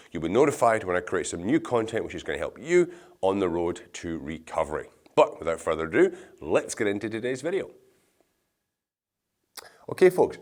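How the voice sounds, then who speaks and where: Deep-voiced, slightly nasal man instructing. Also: darkish tone. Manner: casual, reassuring, step-by-step explaining. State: blocked nose from a cold. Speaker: exercise instructor. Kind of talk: instructional video demonstration.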